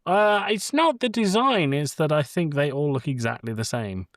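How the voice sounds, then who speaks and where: A man's voice: a little high, animated, normal speed, no echo, clean studio sound. A man co-hosting a casual podcast, giving blunt opinions in a conversational way with some swearing. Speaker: podcast co-host. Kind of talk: casual movie discussion.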